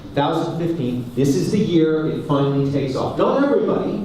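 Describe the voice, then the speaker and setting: A Canadian man giving a lively, joking conference talk and questioning the audience. He is recorded in a large hall, away from the mic. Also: fairly high pitch, brisk, slight background noise. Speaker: conference speaker. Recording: echoey hall, speaker far from mic.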